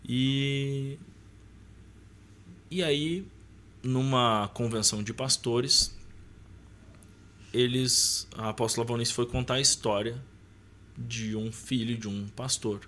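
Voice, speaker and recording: Low-voiced southern Brazilian man telling stories. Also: bright, forceful tone, a little hiss or hum. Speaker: podcast guest. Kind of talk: casual personal storytelling.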